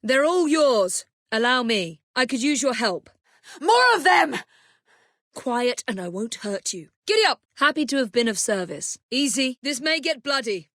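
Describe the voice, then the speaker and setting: A woman voicing a confident young Victorian English assassin and gang leader in a video game, crisp, commanding and wryly polite. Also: high voice, big pitch swings, measured pace, fairly bright tone, no echo, clean studio sound, exaggerated voice. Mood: alert, brisk, then urgent shouting.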